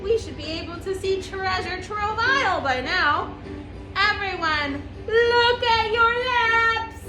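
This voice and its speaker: A woman puts on a bold, muddle-headed storybook pirate captain, very high in pitch and loudly commanding. He stretches his exclamations out slowly, in a roomy space. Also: lively intonation, music in the background, exaggerated voice. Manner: loud, commanding, drawn-out exclamations. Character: bold, bumbling male pirate captain giving muddled orders.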